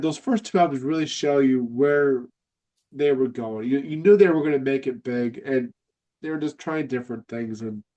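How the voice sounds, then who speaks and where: A man with a congested, nasal voice hosts a casual fan chat. He rambles along with plenty of fillers and restarts, pitched in an ordinary middle range. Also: a little mellow. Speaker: podcast host.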